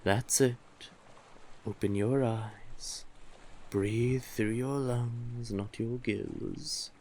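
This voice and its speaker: A man's voice: mid-range voice, steady tone, warm tone, slight background noise. A man voicing a doting, possessive, manipulative male sea witch in an intimate audio roleplay, coaxing the listener tenderly. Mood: doting, teasing. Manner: coaxing, unhurried, tender with pet names.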